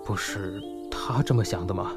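An actor playing a reserved, regretful boss in an audio drama. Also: male voice, sits low, moderate pace, gravelly, loud music behind the voice. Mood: subdued, regretful. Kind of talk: Chinese audio drama acting.

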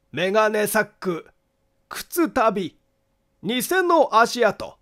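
A man's voice: extremely high pitch, exaggerated voice. A man voicing a meticulous amateur detective in an audiobook, methodically and formally laying out his reasoning. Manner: deliberate, formal, methodical explaining. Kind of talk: acted audiobook monologue.

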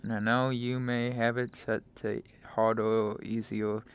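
A man giving casual live gameplay commentary as a streamer, halting and talking in short phrases, often mumbling to himself. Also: on the low side, level tone. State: frequent coughing. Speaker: game streamer. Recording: noisy home stream microphone.

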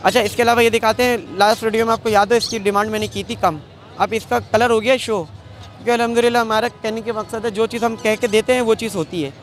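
A young man, a bird seller, pitching his birds in eager, quick patter to a vlogger at an outdoor market on a handheld mic. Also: fairly even intonation. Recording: noisy crowded outdoor market. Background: crowd chatter.

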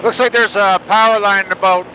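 A man's voice: high-pitched, fairly even intonation, brisk. A man chatting casually as a pilot over a crackly helmet intercom while flying outdoors. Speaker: fellow paramotor pilot. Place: outdoors, in flight. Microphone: helmet headset intercom. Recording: crackly intercom with engine noise.

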